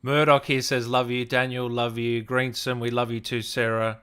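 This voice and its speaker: A man's voice: mid-range voice, flat delivery, moderate pace, fairly bright tone, clean tone. A man with an Australian accent hosting a casual interview in a laid-back, friendly way. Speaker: interview host.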